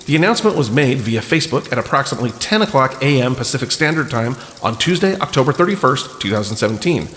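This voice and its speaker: A man's voice: mid-range voice, very animated, quick pace, few pauses, a bit bright, slight background noise. A man hosting a podcast in a casual, wry, self-deprecating and informal way. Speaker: podcast host. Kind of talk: casual podcast talk with read-out news items.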